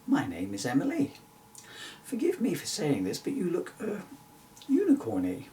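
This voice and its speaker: A man's voice: a little high, normal speed, distant mic, a little hiss or hum. A man voicing a curious, polite and giggly young girl from a children's storybook. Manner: polite, excitable. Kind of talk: children's storybook character reading.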